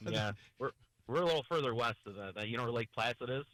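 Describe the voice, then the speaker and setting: A man's voice: mid-range voice, fast talker, a bit bright, no echo, poor audio. A man calling in as a guest on a radio show, plain-spoken and matter-of-fact, with a froggy voice as he gets over a cold. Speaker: call-in guest, tree cutter. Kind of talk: phone-in chat.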